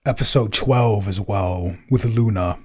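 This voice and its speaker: A man's voice: lowish voice, measured pace, a little mellow, husky. A man co-hosting a podcast in casual chat, talking freely with many "um" and "you know" fillers. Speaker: podcast co-host and editor.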